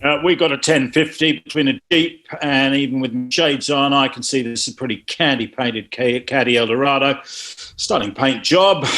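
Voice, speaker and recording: A man's voice: mid-range voice, a bit bright, close to an everyday voice. A man acting a self-important, stumbling police officer in a comic script read. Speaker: actor in a script table read. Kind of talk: comedic screenplay table read.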